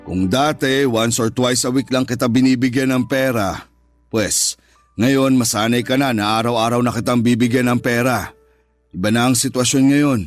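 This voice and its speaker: A man's voice: mid-range voice, fairly even intonation, normal speed, close to an everyday voice. An actor playing a rich, jealous, controlling businessman lover in a Filipino radio drama, firm and possessive. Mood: placating. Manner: possessive, firm, reassuring turning threatening. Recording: music bed under the dialogue.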